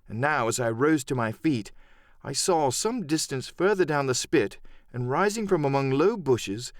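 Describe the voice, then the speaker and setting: A man's voice: mid-range voice, lively intonation, moderate pace, no room sound, clean studio sound. A man reading a first-person adventure story as a dramatic scripted audiobook narration.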